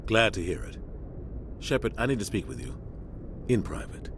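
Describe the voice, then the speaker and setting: An actor playing a seasoned, loyal military starship captain in a video game, grave and measured with authority. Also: male voice, lowish voice, expressive. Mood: firm concern. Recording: clear engine-like ship noise behind.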